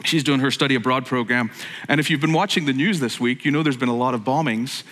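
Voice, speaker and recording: A man's voice: medium pitch, fast talker, a bit bright, long reverb tail, rough recording. A middle-aged man from Northern Ireland preaching a sermon in a joking, conversational way that keeps breaking off into asides. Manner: jokey, conversational, self-interrupting. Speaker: pastor.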